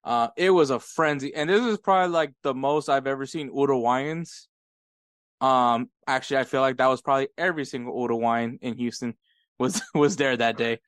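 Laughing, animated American man. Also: medium pitch, a little fast, clear, resonant tone, no echo, clean studio sound. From United States. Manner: laughing often, animated storytelling. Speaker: podcast co-host. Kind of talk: casual chat.

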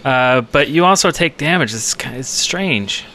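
A man's voice: mid-range voice, animated, a little slow, bright, forceful tone. A man co-hosting a casual gaming podcast, talking in an easygoing, agreeable way. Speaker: podcast co-host.

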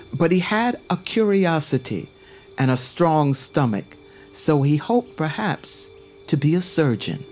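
A man narrating a scripted dramatic story in a measured, literary manner. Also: mid-range voice, a little mellow.

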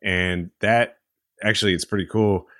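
A man's voice: lowish voice, steady tone, normal speed, fairly bright tone, no echo, clean studio sound. A man co-hosting a podcast, talking in an earnest, reflective, casual way. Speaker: podcast co-host and author. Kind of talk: casual chat.

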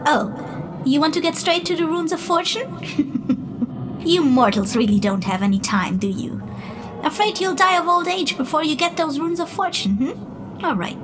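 A woman's voice: sits high, background noise. A woman playing a playful immortal spirit in a fantasy audio drama, teasing and condescending to mortals with frequent giggles.

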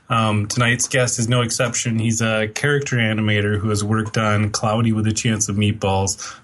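A man's voice: on the low side, fairly bright tone. Chatty male podcast host. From Michigan or Edmonton. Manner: friendly, chatty with frequent fillers. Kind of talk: casual podcast chat.

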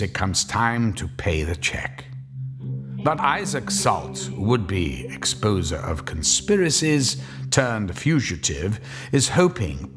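A man narrating a horror radio drama from a script, wry and dramatic, with deliberate pauses. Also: medium pitch. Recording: loud music bed.

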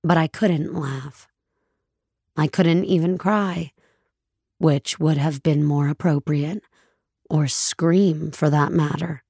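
An actress playing a numb, grieving woman narrating the end of her world in a somber, measured, husky voice for an audio drama. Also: deep voice, fairly even intonation, darkish tone, no echo, studio quality, exaggerated voice. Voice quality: husky, gravelly. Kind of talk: dramatic first-person audio fiction narration.

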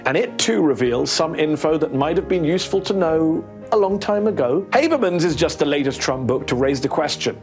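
Higher-pitched news reporter. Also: male voice, expressive, brisk, a little mellow, soft background music. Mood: inquiring. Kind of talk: scripted news narration.

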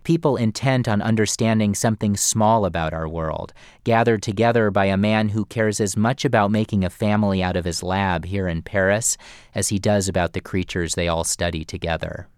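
A male radio reporter narrating a scripted feature story in a measured, storytelling delivery. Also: lowish voice, fairly even intonation, clear, resonant tone, no room sound, studio quality.

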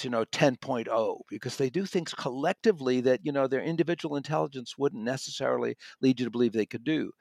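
A man's voice: mid-range voice, vocal fry, dry recording, clean studio sound. A man giving a casual, conversational audiobook review, thoughtfully rephrasing as he goes. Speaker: magazine contributor and audiobook reviewer.

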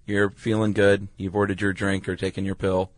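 A man's voice: sits low, completely flat delivery, moderate pace. A man with an American accent co-hosting a podcast in casual, laid-back, playful conversation. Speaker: podcast co-host.

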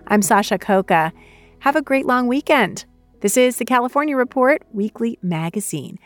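A woman with a somewhat high voice hosts a radio magazine. She reads scripted announcements with light music in the background. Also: animated, moderate pace, no room sound. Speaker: radio program host. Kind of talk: scripted show credits.

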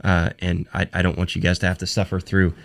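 Hoarse low-voiced man with a cold. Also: monotone. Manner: halting, many restarts and repeated words. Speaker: podcast host. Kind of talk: solo sports talk podcast.

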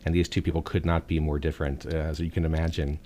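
Deep-voiced man telling industry anecdotes. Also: level tone, fast talker, slight background noise. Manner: anecdotal, warm storytelling with laughs. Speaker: music industry advocate. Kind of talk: podcast panel interview.

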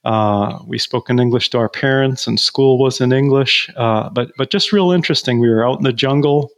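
A man's voice: mid-range voice, normal speed. A man in his forties from Belize, a guest on an interview podcast, telling his life story in a chatty way with frequent laughs and restarts. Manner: chatty, laughs often, many restarts and fillers. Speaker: guest, painting and roofing contractor.

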